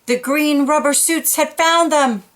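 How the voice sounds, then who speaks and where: A woman reading a children's picture book aloud, pausing for quiet asides about the pictures. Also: high voice. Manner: slow, gentle, pointing out pictures in quiet asides.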